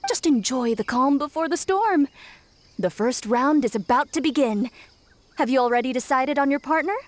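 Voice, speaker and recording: A woman's voice: sits high, expressive, normal speed, no echo. A woman voicing a young shrine maiden in a video game, sly, cryptic and theatrically foreboding. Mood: cryptic, sinister. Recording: faint background music.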